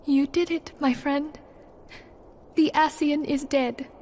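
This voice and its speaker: A woman's voice: high-pitched, fairly even intonation, slow, a little mellow, slight background noise. A woman playing an earnest young female mystic leader in a fantasy game, solemn and pleading. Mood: grieving. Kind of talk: fantasy video game acting.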